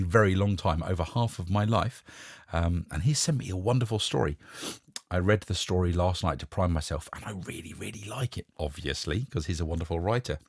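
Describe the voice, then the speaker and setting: A man with a husky voice narrating a short story in a measured, expressive storytelling manner. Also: low voice, no room sound, clean studio sound. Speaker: narrator and host reading short stories. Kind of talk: scripted story narration with a short casual introduction.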